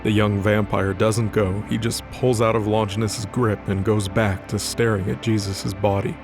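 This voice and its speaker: A man with a somewhat deep voice narrates scripted fiction. He serves as storyteller for a dramatic audio production, with music playing clearly behind him. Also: flat delivery, normal speed.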